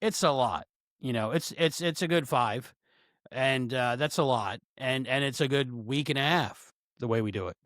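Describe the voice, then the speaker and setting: A man's voice: mid-range voice, moderate pace, a bit bright, no echo, studio quality. A man co-hosting a podcast, rambling casually with lots of fillers and restarts. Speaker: podcast co-host.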